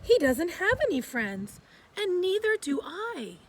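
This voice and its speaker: Lady doing a little boy beaver for a kids story, high n swoopy, earnest and pleading. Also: sing-song, a little slow, slight background noise, full character voice, low-quality recording. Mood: puzzled, plaintive. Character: lonely, earnest young male little beaver, eager for friends. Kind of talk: children's storybook read-aloud.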